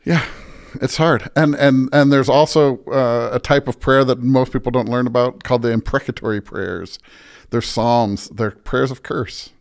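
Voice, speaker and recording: A man's voice: medium pitch, steady tone, moderate pace. An American man speaking as a pastor guest, casual but earnest, repeating phrases for emphasis.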